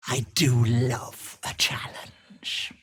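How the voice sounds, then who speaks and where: A woman playing a sly old hag witch in a video game, with an Irish accent and a husky, raspy voice that wheedles sweetly and turns menacing. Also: very deep voice, flat delivery, extremely slow, heavily acted. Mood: teasing, sly. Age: older. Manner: wheedling endearments turning to menace. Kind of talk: video game character acting.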